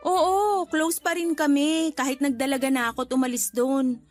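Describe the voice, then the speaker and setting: A woman's voice: sits high, fairly even intonation, moderate pace, darkish tone, lightly acted. A woman playing a sweet, casually condescending Filipina neighbor in a radio drama, breezy in manner. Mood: friendly, breezy. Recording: light background music under dialogue.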